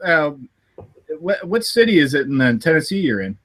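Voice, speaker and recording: A man's voice: on the high side, sing-song, moderate pace, fairly bright tone. A Canadian man hosting a podcast over a video call, laughing often and sometimes mumbling and restarting his words. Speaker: podcast host.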